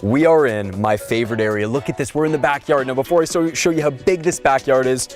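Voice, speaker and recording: A slightly hoarse man speaks in an energetic, salesy rush, his voice sitting in an ordinary middle register. He sounds like a real estate agent hosting outdoors, with faint music running quietly underneath. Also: mid-range voice. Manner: energetic, quick-talking, salesy. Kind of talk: casual behind-the-scenes explanation and upbeat property pitch. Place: outdoors at a house.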